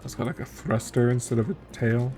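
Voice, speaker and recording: A man's voice: mid-range voice, fairly quick, a little mellow, faint music behind. A man streaming a video game with casual commentary, musing and thinking aloud as he plays.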